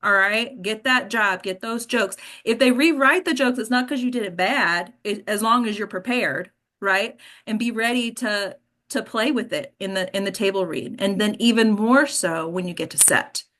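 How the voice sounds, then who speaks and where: A woman's voice: mid-range voice, normal speed, a bit bright. A woman hosting and coaching actors in an informal talk, frank and addressing her listeners directly. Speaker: host and acting coach.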